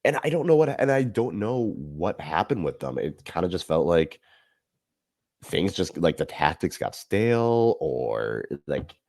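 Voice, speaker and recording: A man co-hosting a casual podcast, giving animated, emphatic analysis of football matches. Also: sits low, measured pace. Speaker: podcast co-host. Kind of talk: casual sports chat.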